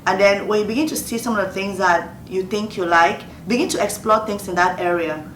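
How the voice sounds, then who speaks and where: A woman's voice: mid-range voice, a little fast. Nigerian woman in her fifties, an earnest host who repeats key phrases, recorded in a roomy space with the mic set well back. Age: 50s. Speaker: host giving advice. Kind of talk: motivational Q&A talk. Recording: roomy distant mic, faint noise.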